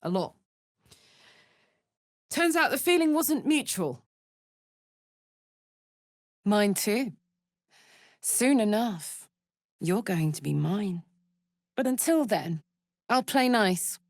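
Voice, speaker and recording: A woman's voice: medium pitch, lively intonation, slow, long pauses, no room sound, studio quality. A woman playing a brash, big-hearted warrior in a fantasy video game, speaking bluntly, earnestly and expressively. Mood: candid, reflective.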